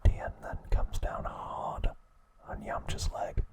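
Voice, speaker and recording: Whispering man, soft ASMR narration. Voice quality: breathy, unvoiced whisper. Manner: hushed, measured, in short phrases. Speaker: ASMR host. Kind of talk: whispered ASMR narration, recounting episode summaries.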